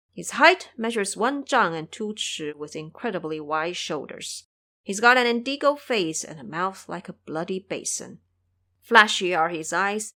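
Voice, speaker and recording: A woman's voice: lowish voice, bright, forceful tone, exaggerated voice. A woman playing a humble, timid Buddhist monk who speaks formally and deferentially in an audio drama.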